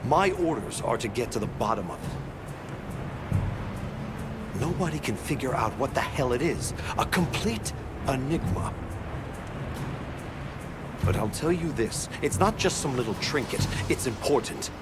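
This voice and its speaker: An actor playing a blunt, aloof male investigator in a video game, offhand and dismissive, with loud music behind. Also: medium pitch, expressive, moderate pace, natural voice. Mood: grudging, intent. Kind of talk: video game cutscene acting.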